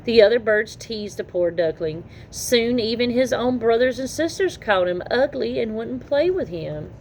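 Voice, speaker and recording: A woman reading a children's story aloud in an expressive, animated storytelling manner. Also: a little high, normal speed, low-quality recording. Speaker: children's story reader and channel host. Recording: noticeable background noise.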